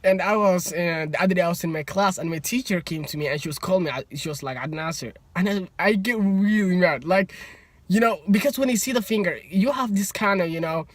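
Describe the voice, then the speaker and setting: A man's voice: high voice, brisk, no echo. Young Somali-accented man telling stories. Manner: animated, acts out both sides of conversations, laughs often. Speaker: student. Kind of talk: casual storytelling.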